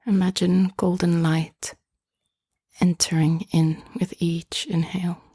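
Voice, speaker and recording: A woman hosting and leading a guided meditation in a soft, hushed, often half-whispered voice, with gentle and inviting phrasing. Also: lowish voice, flat delivery, takes it slowly, slightly rough, no room sound, clean studio sound.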